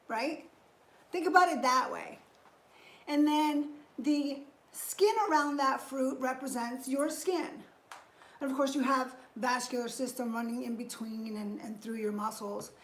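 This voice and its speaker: A woman in her fifties hosting a casual live stream, greeting viewers by name and chatting about fitness. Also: high voice. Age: 50s. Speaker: fitness host. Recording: echoey room.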